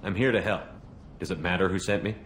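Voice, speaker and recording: Steady military investigator, deep voice, terse and calm, businesslike, sci-fi game, faint noise. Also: male voice, fast talker. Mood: calm, probing. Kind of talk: video game voice acting.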